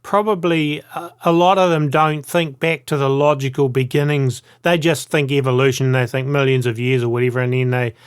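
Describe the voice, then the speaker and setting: A man's voice: mid-range voice, level tone, normal speed, few pauses, clean tone, no room sound, studio quality. A man speaking as a podcast host in casual interview conversation.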